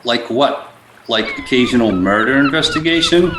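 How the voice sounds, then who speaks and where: A man's voice: medium pitch, wildly swooping pitch, moderate pace. A man playing a deferential, folksy, grumbling boat cook in a radio play. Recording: echoey room, faint background noise.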